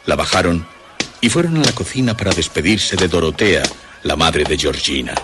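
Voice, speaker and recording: Very deep male storyteller, lively delivery. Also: background noise. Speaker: narrator. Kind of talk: scripted suspense story narration. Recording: music behind the voice. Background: music.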